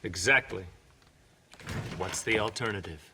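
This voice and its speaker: An actor playing a stern, hostile government enforcer in a western game, curt and blunt, with a gravelly voice. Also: male voice, fairly low pitch, a little slow, sharp tone, slight background noise. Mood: cold, hostile.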